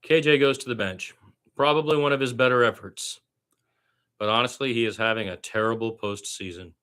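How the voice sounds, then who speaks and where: A man's voice: medium pitch, a little fast, pushed and bright. A man doing amateur radio-style basketball play-by-play in short clipped phrases, with opinionated asides as a partisan fan.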